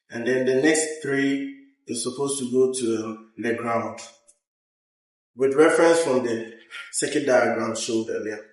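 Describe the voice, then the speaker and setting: A man presenting a hands-on technical tutorial, explaining step by step in a halting way. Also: mid-range voice, fairly bright tone. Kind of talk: hands-on instructional walkthrough. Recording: roomy echo, uneven distance from mic.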